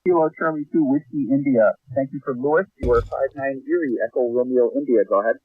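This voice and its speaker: Tinny dry radio signal, american guy mid pitch doing contest call signs. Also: fast, dry recording. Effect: ham radio transmission. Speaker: amateur radio contest operator. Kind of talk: formulaic contest exchanges in phonetics. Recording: tinny narrow phone-like shortwave signal.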